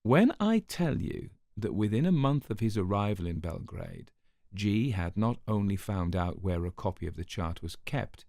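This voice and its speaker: A man reading a scripted spy story as a storyteller, in a wry, dryly mocking and measured manner. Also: fairly low pitch, a little mellow, no room sound, studio quality. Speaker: storyteller narrating a spy tale. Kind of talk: dramatic scripted narration.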